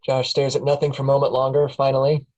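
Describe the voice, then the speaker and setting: A man reading the stage directions aloud at a script table reading, in a plain, steady way. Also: medium pitch, quick pace, lo-fi sound. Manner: plain, steady, chuckles at times.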